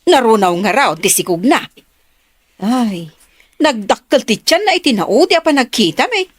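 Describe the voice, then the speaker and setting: A woman's voice: on the high side, very animated, moderate pace, no echo, studio quality. A woman playing a scolding, distrustful mother in an Ilocano audio drama. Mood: indignant scolding.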